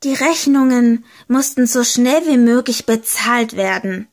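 Slow, high-voiced woman telling a fairy tale. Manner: slow, deliberate, gently emphatic. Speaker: storyteller. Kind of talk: slow storybook narration.

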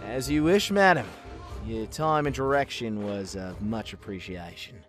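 Male actor as smug robot squad member, lively intonation, theatrical, politely scheming, music underneath. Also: lowish voice, unhurried. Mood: formal, deferential. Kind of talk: fan comic dub acting. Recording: music behind the voice.

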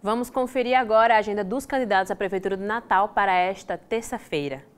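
A woman anchor reads scripted lead-ins in a TV studio. Her voice is smooth and crisp, at a mid pitch. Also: fast, soft background music. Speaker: news anchor. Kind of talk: news anchoring.

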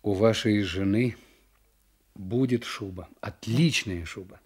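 An actor playing a kindly, honest Russian official in a radio drama. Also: male voice, on the low side, slow pace, husky.

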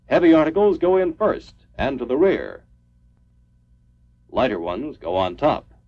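Animated male 1950s training film narrator. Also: medium pitch, unhurried. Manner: formal, clipped declarative instruction. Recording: dull lo-fi 1950s film soundtrack.

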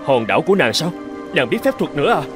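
A man's voice: a little high, sing-song, quick pace, over music. A man voicing an earnest captive island chieftain in a cartoon fairy tale, protesting and pleading. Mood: astonished. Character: brave adult male island chieftain held captive.

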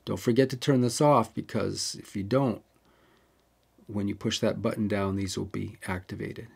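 A man's voice: on the low side, fairly even intonation, moderate pace, gravelly, a little mellow, breathy edge. A man hosting a camera tutorial, talking casually and walking through settings step by step with the odd digression. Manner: chatty, digresses, explains step by step. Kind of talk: casual how-to walkthrough.

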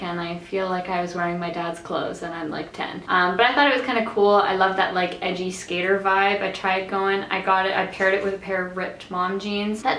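Casual vlogger, woman in her twenties, mid pitch, a little nasal and raspy, chatty and rambling; roomy bedroom sound with faint hiss. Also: a bit bright. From Canada. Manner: chatty, rambling, lots of "like" fillers. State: slightly nasal and raspy from allergies. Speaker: fashion vlogger. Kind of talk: casual try-on haul chat. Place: bright bedroom.

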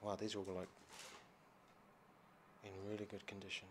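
A man's voice: fairly low pitch, completely flat delivery, moderate pace, noisy background. A man casually narrating as he explores an abandoned hospital, chatty and curious, explaining what he finds. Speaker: urban explorer host.

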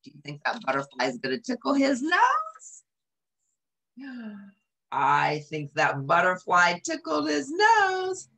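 A middle-aged woman reading aloud to children in a small home office, expressive, with drawn-out emphasis. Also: lowish voice, vocal fry. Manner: animated, drawn-out emphasis, asks listeners questions. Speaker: storyteller, channel host.

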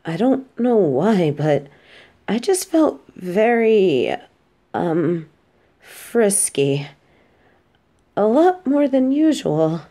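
Woman acting a slow-talking, expressive female supervillain. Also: mid-range voice, full character voice. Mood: soft, hesitant. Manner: casual, halting, expressive. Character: teasing, flustered female supervillain, casual and affectionate. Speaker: voice actress in an audio roleplay. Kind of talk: intimate scripted audio drama addressed to a listener.

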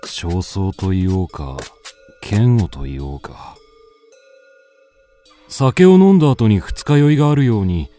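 An actor playing a brooding, melancholic man narrating his wanderings in a measured, dramatic radio drama monologue. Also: lowish voice, very animated, dark tone, faint music behind. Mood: gloomy, brooding.

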